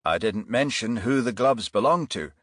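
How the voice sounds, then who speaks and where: A man voicing a stern, methodical police detective in an audiobook, formal and measured as he presses his questions. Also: mid-range voice, lively intonation, fairly bright tone, no room sound, studio quality. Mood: formal, pressing.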